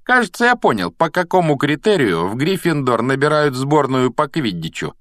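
A man performs a spoiled schoolboy bully from a storybook. The voice sits high and swings mockingly, drawling out each taunt with sneering contempt. The recording is close and dry. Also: sing-song, normal speed, fairly bright tone, no echo.

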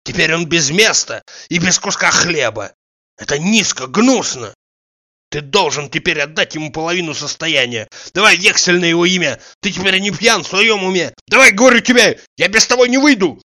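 A man voicing a greedy, abusive, aggrieved bully in an audiobook, ranting crudely and shouting. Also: on the high side, expressive, moderate pace. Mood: ranting, demanding. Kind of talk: dramatised audiobook dialogue.

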